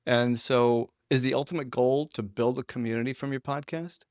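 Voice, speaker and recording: A man's voice: medium pitch, fairly even intonation, moderate pace, darkish tone, clear, resonant tone, no echo, clean studio sound. A man hosting a casual interview, feeling his way conversationally into his questions. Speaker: interviewer, host.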